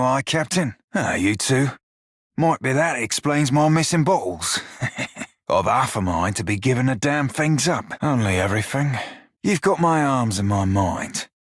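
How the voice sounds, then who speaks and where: An actor playing a gruff, foul-mouthed furry pirate shipmate in a video game, with a rough-edged voice and wry, plain-spoken salty sailor's dialect. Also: male voice, mid-range voice, a bit bright, no room sound, clean studio sound, lightly acted. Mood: wry, breezy, then grimly loyal. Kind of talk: video game character dialogue.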